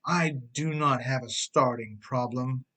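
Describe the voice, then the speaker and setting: A man's voice: mid-range voice, takes it slowly, bright, forceful tone, husky, full character voice. A man voicing a stubborn, argumentative talking car in denial for an audiobook. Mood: defiant, insistent.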